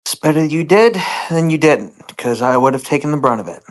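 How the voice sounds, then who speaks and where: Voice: medium pitch, brisk. A player in a tabletop roleplaying game, speaking in character in conversation.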